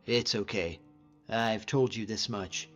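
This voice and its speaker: A man's voice: fairly low pitch, slow pace, a bit bright, lo-fi sound, faint music behind. A man voicing a hardy, easygoing hunter and frost mage as a fantasy game companion, talking informally and sincerely in a reflective, plain-spoken way. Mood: wistful, regretful. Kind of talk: conversational scripted game character dialogue.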